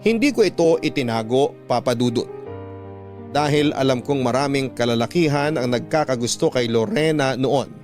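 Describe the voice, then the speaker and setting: A man's voice: medium pitch, expressive, normal speed, a little mellow, natural voice. A man narrating a scripted first-person radio drama as a sincere letter writer looking back on youth, with a Filipino accent. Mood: reflective. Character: sincere adult man recounting his youthful love story. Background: plucked guitar music.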